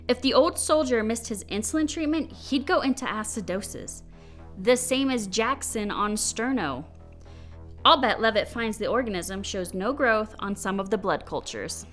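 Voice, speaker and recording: A woman playing a probing, skeptical scientist in a radio drama, speaking briskly and quick to challenge. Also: a little high, a bit bright.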